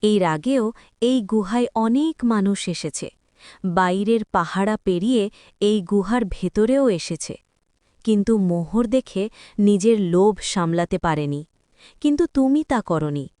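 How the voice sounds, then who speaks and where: Cartoon fairy played by a woman: gentle, coaxing, crystal-clear tone, close dry sound. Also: medium pitch, expressive, normal speed, no room sound, lightly acted. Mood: approving, gentle. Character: kindly magical cave fairy, female, testing with treasure. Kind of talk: scripted fairy-tale cartoon dialogue.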